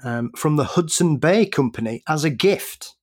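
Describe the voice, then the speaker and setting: A man's voice: on the high side, lively intonation, normal speed. Man telling history stories, laughing. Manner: laughs often, tells history in detail. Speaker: podcast guest. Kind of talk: casual podcast conversation.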